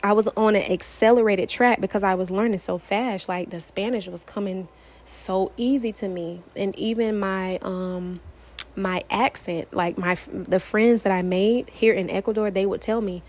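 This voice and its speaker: A woman's voice: medium pitch, fairly even intonation, normal speed, darkish tone. A young woman from Florida, sharing her personal testimony in an earnest, emphatic way, repeating phrases to drive them home. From United States, Florida.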